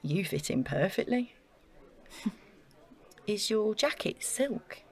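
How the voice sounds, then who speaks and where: A woman's voice: medium pitch, very animated, slow pace, gravelly, slightly rough, exaggerated voice. An actress playing an eager, self-effacing young woman in a naturalistic audio drama, talking in a chatty, gushing way. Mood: admiring, curious.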